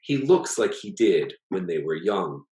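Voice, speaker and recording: A man reading a literary short story aloud as its author, in a measured, plain storytelling delivery. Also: deep voice, sing-song, warm tone. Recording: echoey, roomy sound.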